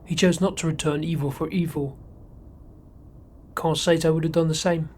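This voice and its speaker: Man as humble sailing crewman, fairly high voice, respectful, rustic archaic speech, audio horror drama. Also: fairly even intonation, moderate pace, a little mellow, a little hiss or hum, close to an everyday voice. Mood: dutiful, uneasy. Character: deferential, plain-spoken crewman on a sailing ship.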